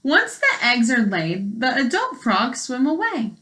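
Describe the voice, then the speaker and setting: A woman's voice: high voice, big pitch swings, unhurried, pushed and bright. A woman teacher reading aloud to young children and explaining as she goes in simple words, with questions to the listeners. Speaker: elementary school teacher.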